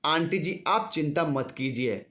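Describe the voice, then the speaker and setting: A man's voice: a little high, lively intonation, measured pace, fairly bright tone. A man voicing a caring, respectful young man who helps his elders in an audio story. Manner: respectful, earnest.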